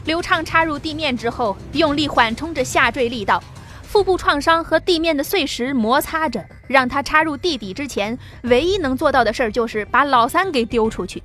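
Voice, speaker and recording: Female audiobook narrator, high voice, steady vivid delivery, faint music under it. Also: moderate pace. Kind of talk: scripted novel narration.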